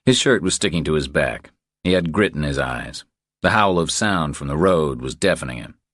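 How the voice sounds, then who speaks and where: Guy narrating a thriller audiobook, low voice, really dry sound. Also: expressive, normal speed, a bit bright, dry recording.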